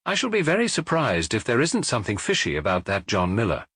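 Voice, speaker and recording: A man voicing a brisk, suspicious provincial police inspector in an audiobook, businesslike and insistent. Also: on the low side, wildly swooping pitch, a bit bright, slightly rough, no room sound, studio quality, exaggerated voice. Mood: confident, suspicious.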